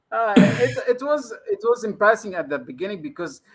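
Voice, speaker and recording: A man's voice: high voice, breathy edge. A man from Egypt, a native Arabic speaker with an Egyptian accent, talking as a guest trainer in a casual live interview and often adding "you see". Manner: chatty, keeps adding "you see", laughs easily.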